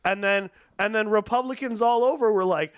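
A man's voice: high-pitched, fairly quick, clear, resonant tone, dry recording, clean studio sound. A man ranting in casual, profane talk on a podcast, rambling and swearing a lot. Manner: rambling, swears a lot, acts out quoted people.

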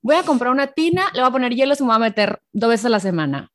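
A woman hosting an interview, chatty and casual, full of quick fillers and restarts. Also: fairly high pitch, lively intonation. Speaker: interview host.

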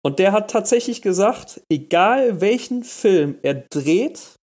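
A man on a podcast chats away, animated and quick to stammer as his thoughts run ahead. His voice sits a little high for a man. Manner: animated, restarts and stammers when excited. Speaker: podcast co-host. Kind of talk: casual chat.

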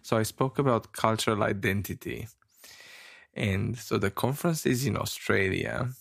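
A man from Romania co-hosting a casual chat, with a rough voice today, talking haltingly with fillers and a self-deprecating streak. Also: fairly low pitch, a bit bright, no room sound, clean studio sound. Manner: halting, many fillers and restarts, laughs often. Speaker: podcast co-host.

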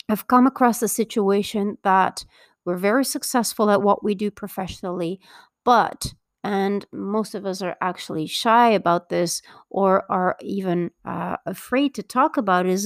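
A middle-aged woman from Belgium hosting her own podcast as a parenting coach, talking earnestly and upbeat with many filler pauses. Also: medium pitch, clear, resonant tone, no echo, clean studio sound. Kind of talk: solo podcast introduction.

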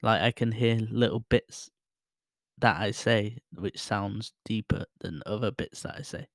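A man's voice: fairly low pitch, unhurried, vocal fry, no echo. A man casually reviewing a microphone he is testing, rambling and self-deprecating, laughing at himself, speaking close into a studio mic at his desk. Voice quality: voice others call soothing. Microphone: close studio microphone with headphones.

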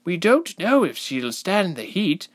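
Man putting on anxious high-pitched villager voices. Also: sing-song, a little slow, exaggerated voice. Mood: anxious, curious. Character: anxious, curious villagers gossiping about a stranger. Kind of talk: audiobook character voice.

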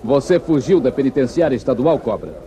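Um ator brasileiro interpretando um herói de faroeste destemido, com fala firme, formal e comedida de radionovela dos anos 1950. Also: male voice, medium pitch, animated, mellow, lightly acted. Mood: calm challenge. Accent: Brazilian. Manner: firm, formal, measured. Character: steadfast, fearless cowboy hero lawman. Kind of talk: 1950s radio western acting.

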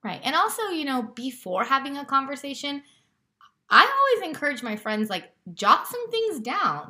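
A woman's voice: a little high, vocal fry, bright, forceful tone. A woman whose first language is Romanian, co-hosting a podcast in casual chat, with a lot of "like" and "you know". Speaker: podcast co-host.

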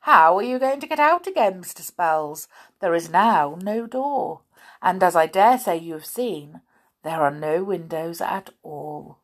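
A woman's voice: on the low side, expressive, measured pace. A woman reading aloud and voicing a gloating, cross-eyed male giant who taunts his prisoners. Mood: taunting. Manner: taunting, gleeful.

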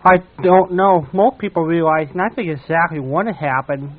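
A man hosting a radio talk show, his voice a little on the high side, feeling his way through questions. He sounds tinny and narrow, like he is on a phone line. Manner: halting, rephrasing questions. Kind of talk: casual interview chat.

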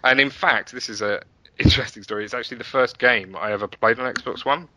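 A man's voice: medium pitch, fast, pushed and bright, no echo, low-quality recording. A man speaking as an interview guest, chatting casually and telling stories with enthusiasm. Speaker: podcast guest, app and website developer.

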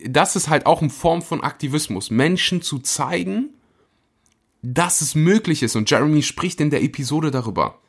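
A man hosting his podcast, introducing the episode in an energetic, casual way. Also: on the high side. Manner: energetic, enthusiastic, speeds up and slows for emphasis. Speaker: podcast host.

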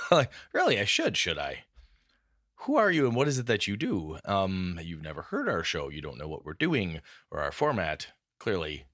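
A man co-hosting a gaming podcast in casual chat, telling animated stories and acting out what others said. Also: low voice, dry recording, studio quality. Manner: animated storyteller acting out quotes. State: a bit groggy. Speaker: podcast co-host.

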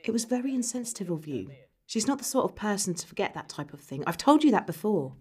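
An actress playing an exasperated, sharp-tongued, sarcastic partner who nags about money in a dark comedy audio drama. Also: female voice, mid-range voice, very animated, quick pace, breathy edge. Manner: scolding, foul-mouthed, sarcastic. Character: exasperated, money-pressed, sharp-tongued nagging wife or girlfriend.

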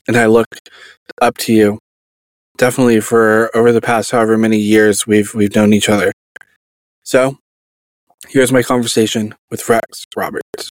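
A young man hosting a podcast, reading a scripted introduction in an earnest, measured way. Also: mid-range voice, dry recording, rough recording. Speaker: podcast host.

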